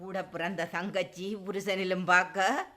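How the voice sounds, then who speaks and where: A woman's voice: lowish voice, level tone, a little slow, fairly bright tone. An actress with a Sri Lankan Tamil accent plays a middle-aged sister in a radio drama, pleading with her brother and reproaching him.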